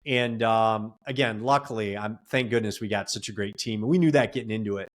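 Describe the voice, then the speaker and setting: A man's voice: on the low side, fairly quick. A man in a casual podcast interview, a real estate investor and coach giving earnest advice in long run-on stretches.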